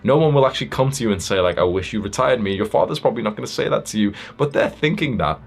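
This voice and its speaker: Low-voiced blunt young man, motivational talk. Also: lively intonation, quick pace, vocal fry. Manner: blunt, stern, emphatic, swearing. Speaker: self-improvement coach.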